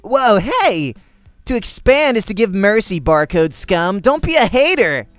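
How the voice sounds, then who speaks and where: A man's voice: sits high, sing-song, unhurried, a bit bright, voice pushed up, heavily acted. Man playing a brash, slangy young gamer guy. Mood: loud, defensive. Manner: slangy, indignant, punchy.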